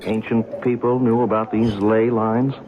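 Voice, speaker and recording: A man's voice: lowish voice, level tone, unhurried, close to an everyday voice. An actor playing a blunt, skeptical man who recounts a strange night, in an old-time radio drama style. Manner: blunt, incredulous, wisecracking. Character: skeptical, brash, down-to-earth male visitor recounting a strange night. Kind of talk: old-time radio drama acting with first-person narration. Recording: tinny band-limited radio recording, music.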